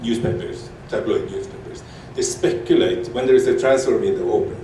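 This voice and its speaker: A man from Norway asks a long question from the floor, halting and restarting as he goes. His middle-pitched voice comes from far off in a very echoey hall, with a faint hiss underneath. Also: warm tone. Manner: halting, repeats words, fillers. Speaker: audience member asking a question. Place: large echoey conference hall.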